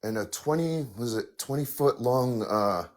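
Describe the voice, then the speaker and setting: A man calling in to tell a paranormal story, halting and full of fillers, with a raspy voice. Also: mid-range voice, animated. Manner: halting, many fillers and restarts. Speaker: caller sharing a paranormal story. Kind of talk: hesitant casual storytelling.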